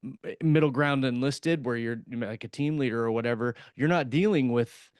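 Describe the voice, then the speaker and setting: Middle-aged guy musing on a podcast, smooth clean voice, dry close sound. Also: mid-range voice, brisk, darkish tone, no echo. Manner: reflective, thinks aloud. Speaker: podcast co-host and author. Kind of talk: casual chat.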